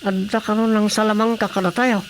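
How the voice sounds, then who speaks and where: A man with a Filipino accent playing an excitable, argumentative companion in a radio comedy drama. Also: sits high, brisk, slight background noise, poor audio. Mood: arguing.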